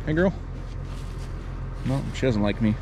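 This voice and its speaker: Young farmer explaining chores outdoors. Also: male voice, medium pitch, expressive, a little slow, very noisy. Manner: plain, matter-of-fact explaining. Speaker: dairy farmer vlogger. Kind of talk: casual narration while doing chores. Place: outdoors on a snowy farm yard by a barn. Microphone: action camera, sometimes held at arm's length.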